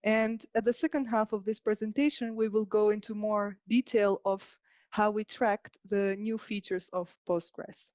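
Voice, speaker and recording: A woman from Albania giving a prepared technical presentation as a software engineer. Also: medium pitch, steady tone, unhurried, no room sound, lo-fi sound.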